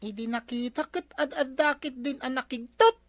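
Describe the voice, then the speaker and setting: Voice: high voice, moderate pace, no room sound, lightly acted. A woman playing a cheeky, inquisitive grandchild in an Ilocano comedy radio drama. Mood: curious. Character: nosy, cheeky young grandchild of the village captain. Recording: faint background noise.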